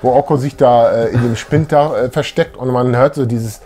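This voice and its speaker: A middle-aged man co-hosting a casual, nostalgic fan chat, animated and often repeating his phrases. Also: mid-range voice, moderate pace. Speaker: video show co-host. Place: small room full of collectibles, indoors.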